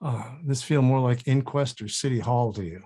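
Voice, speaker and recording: A man playing a casual, sceptical, needling sidekick in a script table read, with a husky voice. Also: mid-range voice, moderate pace, warm tone. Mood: casual, unsure.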